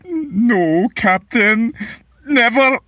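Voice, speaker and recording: A woman's voice: a little high, animated, extremely slow, fairly bright tone, dry recording, clean studio sound, exaggerated voice. A woman playing a swaggering but easily scared pirate henchman in an audio drama, blustering and exaggerated. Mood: frightened.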